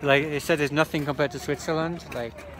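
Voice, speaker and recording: A man's voice: on the high side, steady tone, fairly quick. A young British man in his twenties chatting casually and informally to a phone held at arm's length outdoors. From Britain. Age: 20s. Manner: informal, says "like" often. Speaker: vlogger. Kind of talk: casual travel vlog chat. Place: outdoors, station and ski slope. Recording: noisy outdoor phone recording.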